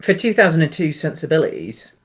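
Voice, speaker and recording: A man's voice: fairly high pitch, big pitch swings, fast talker, fairly bright tone, husky. A man co-hosting a podcast, giving his opinions in a casual film review chat in a hesitant, meandering way. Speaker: podcast co-host.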